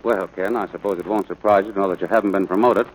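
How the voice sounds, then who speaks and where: A man playing a stern, exasperated rancher father in a 1940s radio drama, brisk, scolding and sarcastic. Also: low-pitched, steady tone, slightly rough, poor audio.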